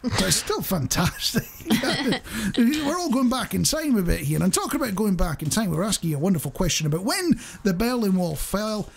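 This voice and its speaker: A man's voice: high-pitched, big pitch swings, moderate pace, vocal fry. A middle-aged man hosting a radio show with casual, jokey chat, speaking into a studio microphone. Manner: jokey, chuckles often. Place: small indoor radio studio. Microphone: studio microphone on boom arm.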